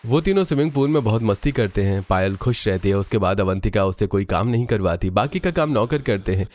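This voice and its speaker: A man's voice: on the low side, expressive, brisk, a little mellow. A man narrating a scripted family cartoon story.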